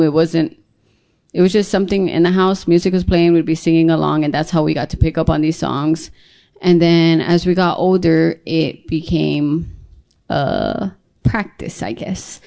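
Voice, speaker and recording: A young woman from Hawaii whose first language is Hawaiian, with a slightly low voice. She talks as an interview guest, reflective and informal, sharing personal stories in a relaxed conversational way. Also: normal speed, creaky. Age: young adult. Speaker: singer-songwriter, interview guest. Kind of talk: casual personal storytelling in an interview.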